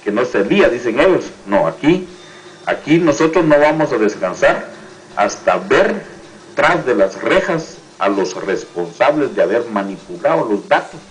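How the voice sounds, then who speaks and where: A man with a Guatemalan accent, giving political commentary as a union representative, often halting and repeating words. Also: medium pitch, very animated, a little hiss or hum, low-quality recording. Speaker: teachers' union representative, interview guest.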